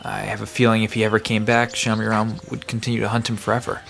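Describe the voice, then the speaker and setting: A man's voice: fairly low pitch, completely flat delivery, fast talker, creaky, a bit bright. A man playing a friendly park guide who explains myths conversationally in an audio drama. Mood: storytelling. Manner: explanatory storytelling. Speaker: storyteller guide. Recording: background noise with outdoor ambience.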